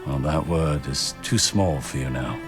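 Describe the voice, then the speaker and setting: An actor playing a wise, weary old warlock mentor in a video game, speaking in a measured, portentous way. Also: male voice, a bass voice. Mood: reflective, warm. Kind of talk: video game cutscene acting. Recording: loud music behind the voice.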